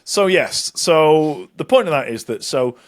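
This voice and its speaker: A man's voice: fairly high pitch, animated, measured pace. Swearing man hosting a podcast. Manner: joking, swears freely, laughs often. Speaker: podcast co-host. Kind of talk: casual banter.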